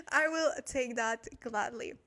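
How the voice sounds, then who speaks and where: A high-pitched woman hosts a casual online language lesson. Her accent mixes French and Korean colouring, and she is friendly and giggly as she answers and thanks her viewers. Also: slow, bright, forceful tone. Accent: mixed French and Korean-tinged English. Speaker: livestream Korean language teacher.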